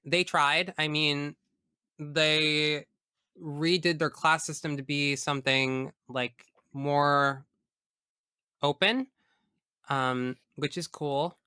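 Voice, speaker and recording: A man's voice: mid-range voice, sharp tone, clear, resonant tone, dry recording, clean studio sound. A man chatting casually as a podcast guest, opinionated and emphatic, swearing freely. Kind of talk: casual chat about video games.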